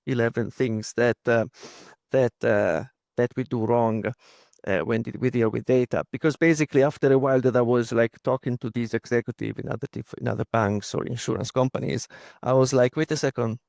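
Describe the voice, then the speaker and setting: A man's voice: medium pitch, steady tone, fairly quick, creaky, darkish tone, no room sound, studio quality. A man in his thirties with an Italian accent, talking as a podcast guest with many fillers and restarts. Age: 30s. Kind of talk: casual interview talk.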